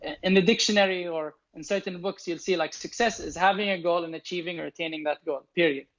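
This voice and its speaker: A man's voice: high-pitched, fairly even intonation, quick pace, fairly bright tone, dull audio. A young man whose first language is Arabic, answering interview questions thoughtfully, often restarting and repeating words as he explains. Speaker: show founder and host, interviewed as guest.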